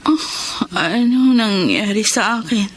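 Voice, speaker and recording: An actress playing a remorseful sister-in-law in a melodramatic radio drama, speaking in a plaintive, emotional way. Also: female voice, a little high, expressive, takes it slowly, slight background noise. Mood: weak, confused. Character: remorseful older sister-in-law recovering in hospital. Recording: dramatic music behind the voice.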